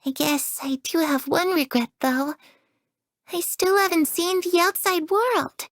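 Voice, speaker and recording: A woman voicing a gentle, hopeful young girl in a video game, speaking softly and earnestly with wistful curiosity. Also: very high voice, measured pace, dry recording, studio quality. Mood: warm, grateful. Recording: music under the voice.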